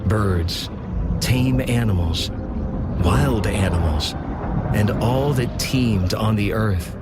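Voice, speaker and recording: A man's voice: fairly low pitch, slow pace, loud music behind the voice. A man giving dramatic, solemn scripted scripture narration for an audio drama. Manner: solemn, portentous.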